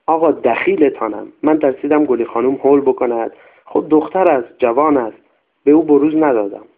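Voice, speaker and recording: A man's voice: medium pitch, close to an everyday voice. A man voicing an old, hunched female servant who is superstitious and fearful, in a tinny radio recording. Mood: pleading.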